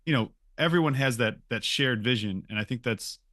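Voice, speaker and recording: Male podcast host, quick pace, dry studio-close sound, emphatic and conversational. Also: mid-range voice, a bit bright, no echo. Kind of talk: casual podcast discussion.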